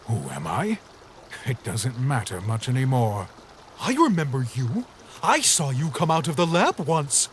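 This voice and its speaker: A man's voice: medium pitch, sing-song, a little slow, slight background noise. A man voicing a cryptic hooded ferryman in a fan comic dub, speaking in dreamy sing-song riddles. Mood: mysterious.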